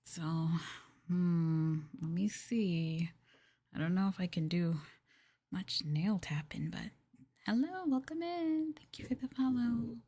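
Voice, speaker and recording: A woman streaming ASMR, talking softly and hesitantly to a live chat, often slipping into a breathy whisper close to the mic. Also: fairly low pitch, animated, takes it slowly. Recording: noisy, with clear hiss and clicks.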